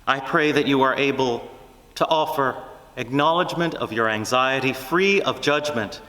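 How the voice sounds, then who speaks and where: A man preaching a reflective, prayerful sermon, speaking earnestly in measured phrases with deliberate pauses. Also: medium pitch, pushed and bright, big-room sound, low-quality recording.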